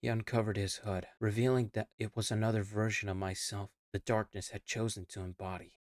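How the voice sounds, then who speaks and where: A man narrating a scripted first-person audio log in a measured, somber storytelling manner. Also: lowish voice, level tone, dry recording, clean studio sound. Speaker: VTuber narrating his character's lore.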